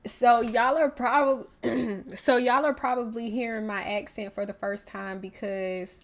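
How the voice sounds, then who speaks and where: A woman's voice: fairly high pitch, fairly even intonation, a little slow. A young woman with a North Carolina Southern accent, hosting a casual livestream with a stuffy nose and chatting straight to her viewers. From Raleigh, North Carolina. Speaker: livestream host.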